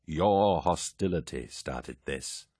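A man voicing a calm, firm giant warrior in an audiobook, speaking in a measured way. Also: a bass voice, no room sound, clean studio sound, full character voice.

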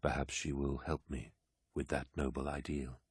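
Man playing a very deep, husky brooding warlord. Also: flat delivery, no echo, clean studio sound, full character voice. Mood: wistful. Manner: grave, measured, imperious. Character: commanding, brooding armoured male warlord, proud and grand.

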